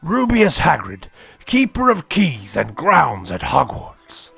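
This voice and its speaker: Man playing a boisterous rustic half-giant, gravelly. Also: on the high side, wildly swooping pitch, takes it slowly, fairly bright tone, no room sound, soft background music, voice pushed down, heavily acted. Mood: hearty. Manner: rustic British dialect, hearty. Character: boisterous, crude half-giant groundskeeper man, kindly to kids.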